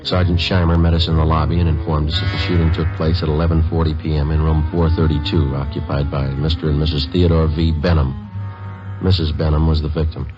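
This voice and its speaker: Terse police detective, very deep voice, dry and factual, vintage radio crime drama, muffled sound. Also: male voice, fairly quick. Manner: clipped, deadpan, matter-of-fact. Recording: dull old radio recording, music behind.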